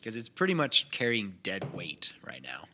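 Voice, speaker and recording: A man's voice: lowish voice, huge pitch swings, normal speed, sharp tone, a little hiss or hum. A man running a tabletop game as game master, narrating to the players and calling for rolls.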